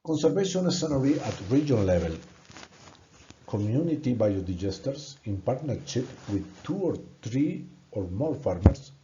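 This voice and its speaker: A man from Colombia with a Colombian Spanish accent, a native Spanish speaker giving an earnest, halting presentation in English and repeating words when stuck. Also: medium pitch, mellow, highs cut off, slight background noise. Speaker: student presenting a course project. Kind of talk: prepared presentation narration.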